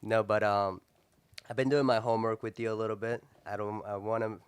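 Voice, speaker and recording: Low smooth-voiced man. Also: level tone, a little fast, no room sound. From Colombia. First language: Spanish. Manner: earnest, reflective storytelling. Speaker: podcast host. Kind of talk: casual interview chat.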